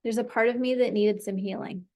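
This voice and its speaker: A woman's voice: a little high, fairly even intonation, a little fast, darkish tone. Encouraging woman guiding a tapping session. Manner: encouraging, leads phrases for repeating. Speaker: tapping practitioner.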